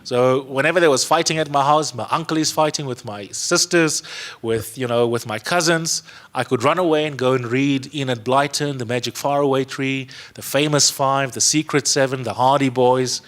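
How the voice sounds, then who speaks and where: A man's voice: medium pitch, steady tone, normal speed, fairly bright tone. A man in his thirties with a South African accent, whose first language is Afrikaans, speaking earnestly on a panel to a young audience in an auditorium, holding a handheld mic and repeating words for stress. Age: 30s. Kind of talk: speech to a youth audience. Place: museum auditorium with a seated audience.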